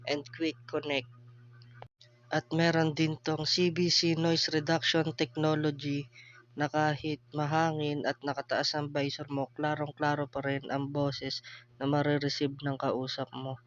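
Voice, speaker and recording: A man casually walking viewers through a motorcycle intercom, explaining each step and calling them guys. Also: fairly high pitch. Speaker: product reviewer. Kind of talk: casual product demo. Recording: dull lo-fi sound with hum.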